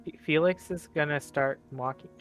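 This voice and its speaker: A man's voice: fairly high pitch, normal speed, a bit bright, faint music behind. A man playing a tabletop game, casually stating his character's moves. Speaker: tabletop roleplay player.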